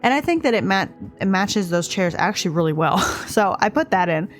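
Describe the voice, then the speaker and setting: A woman's voice: mid-range voice, sing-song, brisk. A woman casually narrating a speed build of her game house, a little stuffed up from allergies, rambling and hesitant. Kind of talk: casual voice-over commentary. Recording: voice-over with faint music behind.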